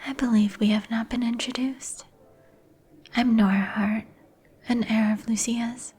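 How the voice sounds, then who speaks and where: Actress as guarded female vampire heir, ASMR roleplay; extremely breathy and whispery, even in tone, politely formal. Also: a little high, measured pace. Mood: reserved, polite. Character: reserved female vampire heir who shuns crowds.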